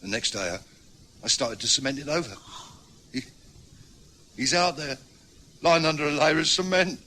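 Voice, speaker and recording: A man's voice: fairly high pitch, moderate pace, bright, forceful tone, slight background noise. A man with an English accent acting a guilt-ridden older husband who hides a killing, in a radio drama, speaking in a frantic, stammering way. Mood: confessing. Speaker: husband George.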